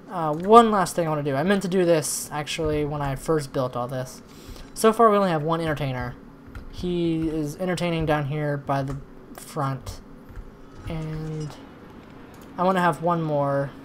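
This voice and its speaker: A man doing casual let's-play commentary, thinking aloud in a rambling way, with a slightly hoarse voice. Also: fairly high pitch. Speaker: host of a gaming channel. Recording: noisy recording with background ambience.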